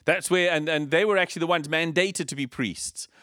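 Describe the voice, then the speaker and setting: Male preacher, Zimbabwean accent, higher-than-average pitch, informal explanatory sermon delivery, close dry sound. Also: a little fast, pushed and bright, no room sound. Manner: explanatory, informal, with rhetorical questions. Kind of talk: conversational teaching sermon.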